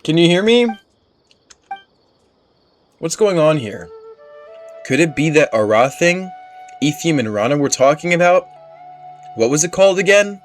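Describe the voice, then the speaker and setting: A man's voice: on the high side, sing-song, unhurried, long pauses, soft background music, voice pushed up. A man voicing a small, cute female fairy companion from a game, curious, anxious and given to exclaiming. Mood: worried, searching. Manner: speaks of self in third person, exclaiming.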